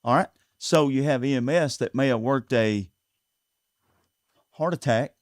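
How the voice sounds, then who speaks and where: A man's voice: medium pitch, unhurried, no room sound. Earnest Southern man, dry close sound. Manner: earnest, repeats words as he builds his points. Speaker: sheriff candidate. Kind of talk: casual interview talk. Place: local TV studio.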